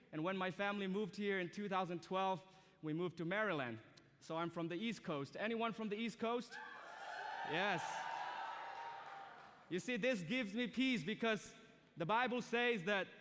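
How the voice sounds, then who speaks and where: A man's voice: high-pitched, normal speed, fairly bright tone, a little hiss or hum. A young man from Myanmar preaching a sermon to a student audience, chatty and direct, asking the crowd questions. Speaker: student speaker. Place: large church or university auditorium. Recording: long hall reverb from a live auditorium.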